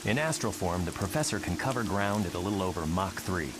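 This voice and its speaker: A man playing a polite, erudite young mutant scientist in a motion comic, courteous and measured. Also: low voice, animated, over music. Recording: hissy track with rain noise.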